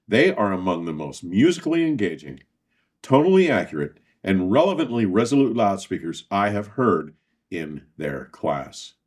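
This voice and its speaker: A man hosting a scripted product review, speaking formally in measured, emphatic phrases with deliberate pauses. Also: on the low side. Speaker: host and audio equipment reviewer.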